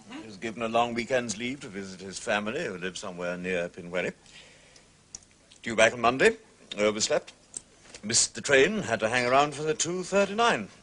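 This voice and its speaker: Clipped, medium-pitched police officer. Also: male voice, a bit bright. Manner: clipped, matter-of-fact. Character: male police officer giving a clipped, exasperated report. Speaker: actor. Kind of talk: broadcast period mystery drama acting.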